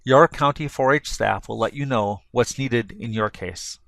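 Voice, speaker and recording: A man reading a scripted training narration in a measured, instructional way, setting out points step by step. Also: mid-range voice, gravelly, fairly bright tone, dry recording.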